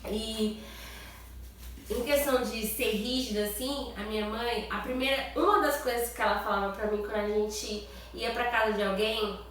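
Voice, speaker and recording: Brazilian lady vlogging with a head cold, nasal, chatty, lots of little "right?" tags, boomy echo, faint hiss behind. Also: steady tone, normal speed, a bit bright. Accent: Brazilian Portuguese. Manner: chatty, lots of tag questions. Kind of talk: casual chat to camera. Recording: very echoey room, far from mic.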